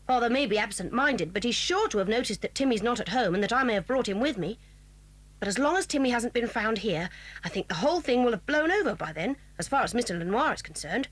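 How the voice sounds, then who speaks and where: A headstrong British tomboy girl in a children's adventure drama. The voice is high and determined, swinging freely in pitch, in a close dry recording. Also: expressive, fast, dry recording. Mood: anxious, resolute. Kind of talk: children's audio drama acting.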